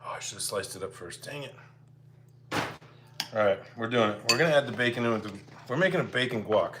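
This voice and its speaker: A man's voice: medium pitch, animated, a little fast. A man hosting a live cooking stream, chatting informally and thinking aloud as he talks to viewers. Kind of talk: casual chat with viewers while cooking. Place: home kitchen. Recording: clear background noise, clattering dishes and cutlery.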